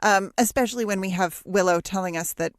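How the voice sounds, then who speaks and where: A woman's voice: medium pitch, brisk, dry recording, studio quality. A woman chatting casually as a podcast co-host, informal and amused in manner. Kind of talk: casual chat about a TV show.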